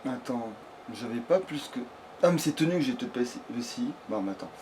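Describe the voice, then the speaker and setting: A man's voice: medium pitch, expressive, brisk, background noise. A man giving casual, rambling let's play commentary, often swearing coarsely. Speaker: video game streamer.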